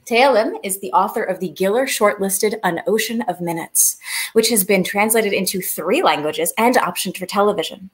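A woman's voice: mid-range voice, normal speed. A young woman hosting an online festival, reading scripted announcements in an upbeat way from a video call at home. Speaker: festival host. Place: living room at home.

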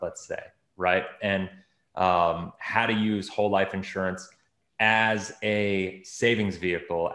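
Casting: American male host, lowish voice, informal and wordy, recorded in an echoey room. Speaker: video host interviewing a guest. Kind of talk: casual conversational hosting. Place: small indoor home office with bookshelves, on a video call. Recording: roomy, echoey video call.

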